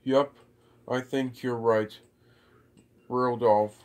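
Puppeteer for a home show: very slow, halting delivery, short answers, phone recording in a living room. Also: medium pitch, steady tone, a little mellow, a little hiss or hum. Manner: brief, halting replies with repetitions. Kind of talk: casual homemade puppet play. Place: living room beside a Christmas tree at home. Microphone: phone camera a short way off.

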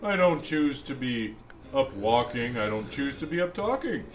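A man's voice: mid-range voice, sing-song, normal speed, natural voice. A man voicing a jolly old Santa Claus who reads a picture book to kids in a chatty, exclaiming way. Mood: playful, insistent. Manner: exclaiming, chatty asides between lines. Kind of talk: animated storybook read-aloud. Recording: music behind the voice.

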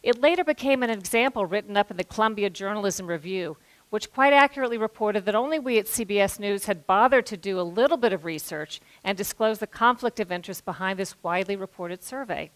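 A middle-aged woman with an American accent gives a prepared stage talk in a measured, articulate way, speaking as a journalist. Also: mid-range voice, low-quality recording. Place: auditorium stage before an audience. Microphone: headset.

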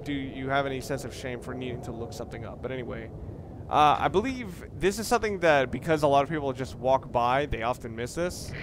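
A man with a mid-pitched voice gives casual Let's Play commentary. He rambles, circling back to rephrase himself, over clearly noisy video game ambience. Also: a bit bright. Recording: noisy, game sounds behind the voice.

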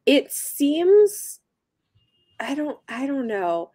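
A woman's voice: sits high, sing-song, very slow, warm tone, dry recording, studio quality. A young woman co-hosting a book livestream, chatting casually and reacting to viewers as she goes. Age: young adult. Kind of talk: casual chat, reacting to live comments. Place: small book-lined room at home, on a video call.

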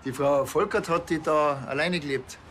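An actor playing a dry, laconic village policeman in a comedy crime series, terse and deadpan with a Bavarian accent. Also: on the high side, normal speed, a little hiss or hum, close to an everyday voice.

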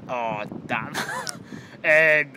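A man's voice: mid-range voice, drawn-out words. Vague British pirate captain played by a man, windy recording. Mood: vague, offhand. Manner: vague, rambling, hesitant. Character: vague, offhand male pirate captain, a witness. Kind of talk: improvised comedy film acting, casual chat. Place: outdoors by a rocky seafront. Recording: windy, very noisy outdoor camera audio.

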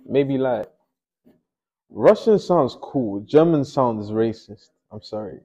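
A man's voice: medium pitch, lively intonation, slow, dark tone, muffled recording. A young man from Nigeria chatting casually while he paints in a small home studio, often mumbling to himself as he works. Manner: laid-back, mumbles to himself while working. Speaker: painter and host.

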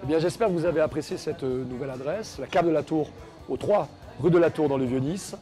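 Man, 40s, TV host, very rapid talk, playful, lapel mic, faint music. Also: on the high side, dark tone. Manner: playful, teasing. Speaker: TV food show host. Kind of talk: chatty restaurant presenting. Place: small wine bar and restaurant, indoors.

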